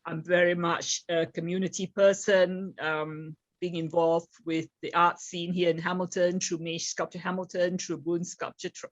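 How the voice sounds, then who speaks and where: Low-voiced, halting middle-aged woman, dull sound. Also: level tone, dry recording. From Singapore and England. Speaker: competition judge. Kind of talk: self-introduction speech.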